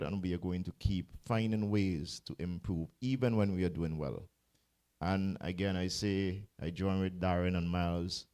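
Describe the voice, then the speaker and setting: Very deep-voiced man giving closing remarks. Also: darkish tone. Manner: earnest, repeats words when searching for them. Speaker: cricket board president.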